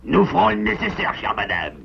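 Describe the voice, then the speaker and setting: A man's voice: on the high side, huge pitch swings, fairly quick, vocal fry, highs cut off, exaggerated voice. A man voicing a proud, loyal animal rogue with a pompous, courteous manner in a French cartoon dub.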